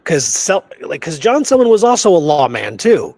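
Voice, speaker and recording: Higher-voiced man chatting as co-host. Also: very animated, moderate pace, darkish tone, dry recording. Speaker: podcast co-host. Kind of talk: casual discussion.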